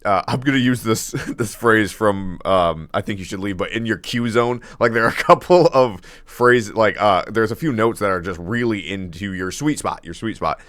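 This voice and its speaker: A man with a deep baritone voice reacting casually to a song, laughing often and rambling with fillers and restarts. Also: normal speed, hardly any pauses, a bit bright, dry recording. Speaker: music reaction host.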